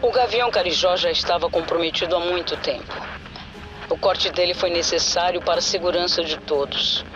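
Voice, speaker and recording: A man's voice: high-pitched, fairly quick, over music. A man with a Brazilian accent playing a cold, formal, commanding secret-court leader heard through a speaker box. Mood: cold authority. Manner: formal, authoritative. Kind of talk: audio drama acting. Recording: narrow-band speaker sound, clear noise.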